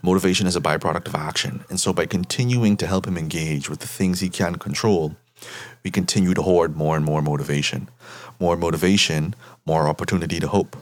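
A man from the Bahamas with a very low voice, talking as a therapist guest in an interview. He explains his points in a measured, patient way and keeps tagging them with "right?". The sound is close and dry, with little room echo.

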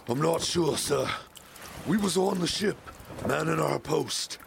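Gravelly, humble sailor. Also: male voice, on the high side, noisy background, full character voice. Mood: shaken. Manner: halting, rustic sailor grammar. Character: weary, humble male pirate crewman. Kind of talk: audio drama acting.

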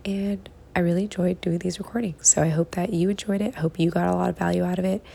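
Quiet, very creaky low-voiced woman podcaster. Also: fairly even intonation, fast. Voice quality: quiet, hushed early-morning voice. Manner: encouraging, conversational, repeats phrases for emphasis. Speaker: podcast host. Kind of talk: casual solo podcast talk giving productivity tips. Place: living room at home.

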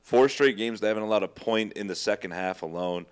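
A young man co-hosting a sports podcast, talking in a rambling, slangy, animated way. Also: lowish voice, fairly quick, clear, resonant tone. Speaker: podcast co-host. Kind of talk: casual sports chat.

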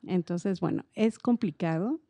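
Middle-aged Mexican woman, creaky mellow voice. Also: fairly low pitch, measured pace, no room sound. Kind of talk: casual conversational explanation on a podcast.

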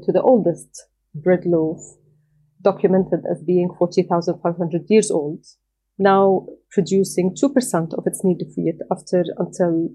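Mellow, husky woman, thoughtful pace. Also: lowish voice, rough recording. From Qatar. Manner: thoughtful, frequent "let's say" fillers. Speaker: guest, community organizer. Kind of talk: conversational interview answers.

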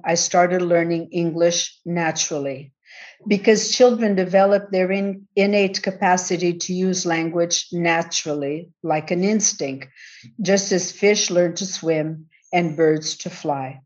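A middle-aged woman from the Azores, raised in California, gives a conference talk from a prepared paper. Her voice sits a little low and her delivery is measured and reflective. The recording sounds dull and lo-fi. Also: darkish tone. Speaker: conference panel speaker. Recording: dull lo-fi audio.

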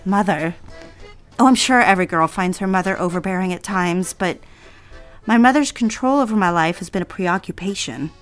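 A woman's voice: lowish voice, normal speed, lightly acted. Actress as a coy, lovestruck young woman over music. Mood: wistful, confiding. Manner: coy, teasing, girlish sophistication. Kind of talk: audio drama romantic phone dialogue.

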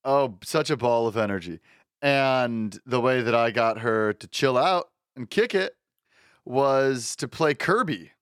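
A man's voice: medium pitch, animated, a little slow, fairly bright tone, clear, resonant tone, no echo, studio quality. A young man co-hosting a podcast, chatting easily with a wry, laughing humor. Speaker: podcast co-host. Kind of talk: casual chat.

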